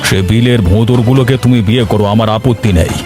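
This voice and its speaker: A man's voice: medium pitch, steady tone, quick pace, lightly acted. A man playing a scheming, lecherous village headman in a cartoon, wheedling and menacing. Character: scheming, lecherous widowed village headman, a bullying land-grabber. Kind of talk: Bengali cartoon voice acting. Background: music.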